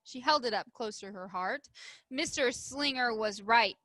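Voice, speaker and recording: A woman's voice: a little high, unhurried, bright, forceful tone, no echo, studio quality. A woman teacher reading aloud and leading a writing lesson for young children, explaining simply and asking them questions. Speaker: primary school teacher.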